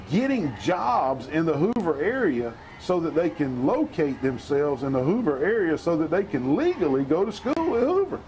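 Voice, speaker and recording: A middle-aged man calling a local football game, folksy and excitable, his voice hoarse. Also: on the high side, slight background noise, low-quality recording. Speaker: play-by-play announcer.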